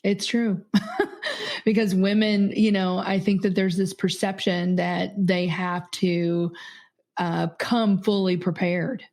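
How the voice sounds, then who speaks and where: Mellow-voiced woman, earnest and chatty. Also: mid-range voice, level tone, slow. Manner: warm, earnest, laughs easily, many fillers. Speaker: podcast guest, company CEO. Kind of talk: conversational interview.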